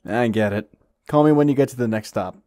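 A man's voice: medium pitch, fairly quick, mellow, no room sound, studio quality, lightly acted. A man voicing a bored young video store clerk, an aloof loner with a casual manner, in a visual novel game. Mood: casual, friendly.

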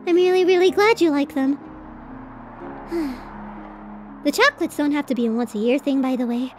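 A woman's voice: high voice, normal speed, soft background music, close to an everyday voice. A woman voicing a bashful, tender tsundere college girlfriend in a romantic ASMR roleplay, flustered and hesitant as she swings between protest and affection. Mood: tender, affectionate.

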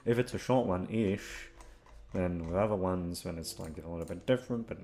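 A man's voice: sits low, moderate pace, dark tone. A young man chatting off the cuff to his listeners, rambling and hesitant, with casual swearing. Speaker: ASMR audio creator, voice actor.

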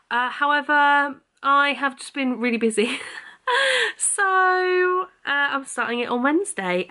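A woman's voice: fairly high pitch, a bit bright. A young woman talks straight into a camera held close at arm's length, rambling along with plenty of ums and likes. She sounds a little sniffly, as if still getting over a cold. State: a bit sniffly after losing her voice. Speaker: vlogger. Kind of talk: casual chatty vlog. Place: bedroom and small kitchen at home.

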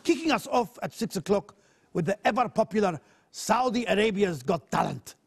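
Smarmy male TV presenter, gravelly, bouncy delivery, as a comic puts him on. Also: sits high, animated, normal speed, exaggerated voice. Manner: slick announcer patter. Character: smarmy male Middle Eastern TV channel presenter.

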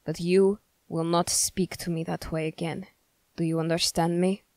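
A woman voicing a stern, suspicious young male witch hunter in an ASMR roleplay, speaking formally and accusingly with deliberate pauses. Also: on the low side, flat delivery, a little mellow, dry recording, natural voice. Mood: suspicious, cold. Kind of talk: ASMR character roleplay, scripted.

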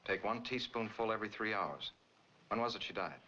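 Skeptical noir pal, American, low forceful voice, rapid delivery, dull old film soundtrack. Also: male voice, expressive, a little hiss or hum. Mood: pondering. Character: wary, blunt, skeptical male friend in a noir. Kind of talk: 1940s film noir acting.

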